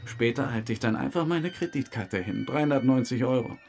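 A man's voice: mid-range voice, fairly quick, gravelly, darkish tone, faint music behind, lightly acted. An actor playing a boastful, weary male suspect who rambles through a confession in a naturalistic radio drama. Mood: animated, urgent. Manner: rambling confessional monologue, acting out remembered exchanges.

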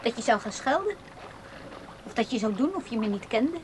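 A woman's voice: a little high, very animated, normal speed, slight background noise, low-quality recording. An actress in a radio play voicing a shy, anxious young woman who speaks in hesitant, short answers. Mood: timid.

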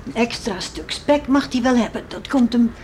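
A woman's voice: on the high side, normal speed, natural voice. An actress playing a devout, worried farmer's wife and mother in a radio play, earnestly musing aloud to herself. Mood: anxious, devout. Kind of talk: Dutch radio play acting, monologue and dialogue. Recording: faintly noisy radio play recording.

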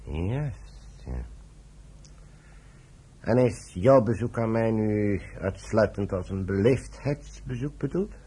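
A man's voice: lowish voice, lively intonation, slow pace, pauses often, dark tone, slightly rough, heavily acted. A man acting an older retired British general in a Dutch radio play, dry, stubborn and ironic. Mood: dryly defiant.

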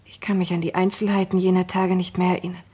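A woman's voice: fairly low pitch, little pitch movement, fast, mellow, breathy edge. An actress playing an independent-minded Englishwoman who confides her story in a 1960s or 70s German radio drama. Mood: reflective.

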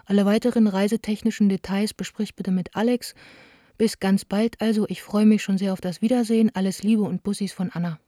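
A woman's voice: medium pitch, steady tone, a little fast, warm tone, no room sound, clean studio sound, close to an everyday voice. An actress playing a candid, wounded young woman of the theatre, speaking her letters in an intimate, restrained way for a radio play. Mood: wry, friendly. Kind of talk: radio play monologue, letters read aloud.

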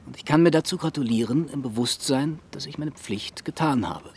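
An actor playing a courteous, self-assured Regency gentleman suitor in a radio play, with a polished, persuasive, formal manner. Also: medium pitch, normal speed, slight background noise, lightly acted. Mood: self-satisfied. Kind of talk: period radio drama acting.

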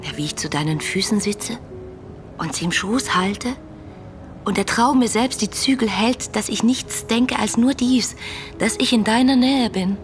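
A woman's voice: a little high, unhurried, faint music behind, natural voice. An actress playing a passionate, dreamy young woman in a German radio play, reading her letters in a lyrical, intimate, fervent manner. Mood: tender, yearning.

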